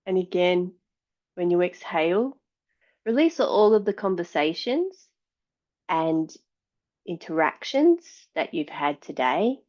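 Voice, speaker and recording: A woman yoga teacher giving guided meditation and yoga instruction in a calm, measured, soothing way. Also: lowish voice, muffled recording.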